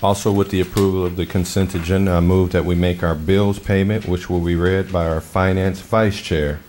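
Deep, monotone councilman making a motion. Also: moderate pace, a little hiss or hum. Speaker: city council member. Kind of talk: moving formal motions.

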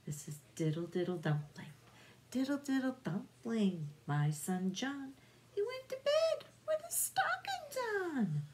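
A middle-aged woman reading bedtime nursery rhymes aloud to young children in a soft, singsong, drawn-out way. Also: on the high side, huge pitch swings. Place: small room at home, indoors. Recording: noticeable background room noise.

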